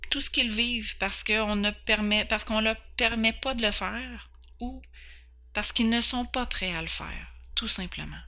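Woman, 40s, Québécois accent; podcast host, earnest and candid, slight stumbles, crisp bright tone. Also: a little high. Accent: Québécois French. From Quebec, Canada. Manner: earnest, candid, occasionally stumbling over words. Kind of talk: personal introduction.

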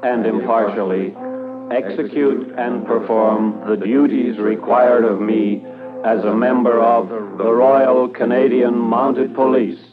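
A man playing a solemn police recruit reciting an oath in measured phrases in a 1950s radio drama. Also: mid-range voice, fairly even intonation, lightly acted. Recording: narrow-band old radio under loud music.

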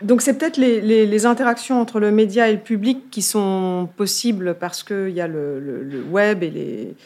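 A woman's voice: mid-range voice, normal speed, darkish tone. A woman interviewing a guest, phrasing her questions in a searching way with many restarts. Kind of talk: interview conversation.

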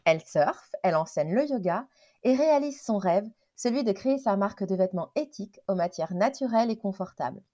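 A woman hosting a podcast, reading a measured, gentle introduction and interviewing her guest. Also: medium pitch, expressive. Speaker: podcast host. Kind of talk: scripted intro then interview.

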